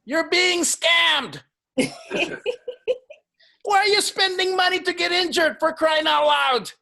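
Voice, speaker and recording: A man in his fifties hosting a casual fitness panel, emphatic and quick to laugh. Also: very high-pitched, gravelly. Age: 50s. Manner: animated, emphatic, laughs often. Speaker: host.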